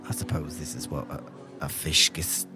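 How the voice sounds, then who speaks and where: A man's voice: extremely low pitch, level tone, normal speed, music in the background. A man playing a sly, genial thief with florid, mock-courtly speech in an improvised tabletop game. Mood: smooth, courtly. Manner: mock-courtly, effusive, evasive.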